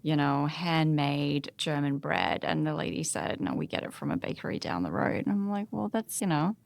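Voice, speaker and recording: Low, creaky-voiced woman in casual discussion. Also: expressive, moderate pace, no room sound. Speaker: podcast panelist.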